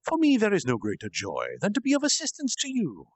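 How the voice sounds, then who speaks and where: A man's voice: high-pitched, huge pitch swings, fairly quick, dry recording, pitched above the performer's own voice, full character voice. A man voicing a devoted demon servant with a friendly, polite and deferential manner in a storybook reading. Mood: devoted, urgent. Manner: deferential, formal, eager to serve.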